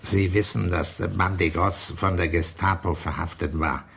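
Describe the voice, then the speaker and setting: An actor in a German radio play, playing a grave, formal negotiator with a husky voice and measured delivery. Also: male voice, deep voice, fairly even intonation, fairly bright tone, heavily acted. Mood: formal, insistent.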